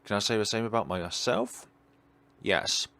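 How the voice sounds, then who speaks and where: A man's voice: fairly low pitch, big pitch swings, unhurried, vocal fry, heavily acted. A man reading visual novel dialogue as a melancholy, world-weary God, in a wistful, dramatic way.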